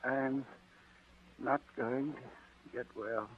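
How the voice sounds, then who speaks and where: An actor playing a frail, dying old rich uncle who speaks slowly, faintly and wearily in a 1940s radio drama. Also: male voice, medium pitch, fairly even intonation, husky. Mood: weary. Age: older. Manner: slow, faint and weary. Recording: tinny old radio broadcast.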